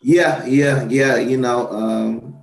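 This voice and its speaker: A man's voice: medium pitch, fairly even intonation, takes it slowly, echoey room, poor audio. A man hosting a casual political talk show, his voice tired and worn, rambling with lots of fillers and restarts. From Atlanta, Georgia. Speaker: podcast host.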